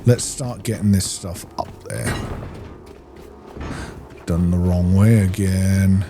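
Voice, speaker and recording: A man's voice: low-pitched, fairly even intonation, takes it slowly, over music. A man doing casual gameplay commentary, muttering and thinking aloud to himself. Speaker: gaming video host.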